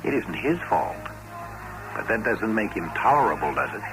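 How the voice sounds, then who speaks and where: A grave American narrator for atomic-age radio drama: very low, expressive voice over loud music. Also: male voice, moderate pace, poor audio. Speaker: narrator and host.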